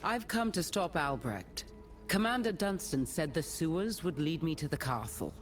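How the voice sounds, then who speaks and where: Fantasy game adventurer, very low female voice, lively intonation, resolute, dry. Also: moderate pace, faint music behind. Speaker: player character. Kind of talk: scripted game voice acting.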